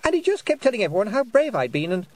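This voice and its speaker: A man plays an awkward storybook boy, nervous and apologetic. His voice sits high, and he hesitates, stammers and blurts his way along. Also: sing-song, fast, darkish tone. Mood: flustered.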